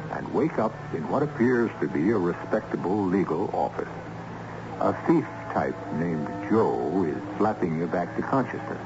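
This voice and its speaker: A man's voice: low-pitched, noisy background. A man hosting an old radio drama, narrating in a theatrical, knowing style. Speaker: host and narrator. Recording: muffled old radio broadcast. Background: music.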